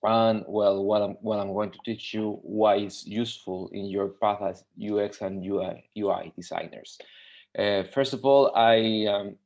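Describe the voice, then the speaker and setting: A man's voice: on the low side, steady tone, a little slow, gravelly, darkish tone. A man from Mexico, a UX designer, teaching a live webinar tutorial with many fillers and restarts. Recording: dull lo-fi webinar audio.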